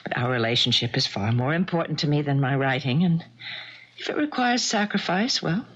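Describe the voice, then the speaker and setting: An actress playing a wistful suburban wife and novelist in a 1970s radio drama. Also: female voice, sits low, lively intonation, brisk, a bit bright. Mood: regretful. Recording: dull vintage radio broadcast.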